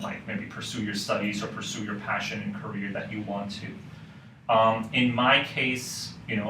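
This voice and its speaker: A man from Hong Kong, a university lecturer, talking thoughtfully as a podcast guest with frequent fillers. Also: lowish voice. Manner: thoughtful, many "um" and "you know" fillers. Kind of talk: casual podcast conversation. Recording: roomy, distant mic with faint noise.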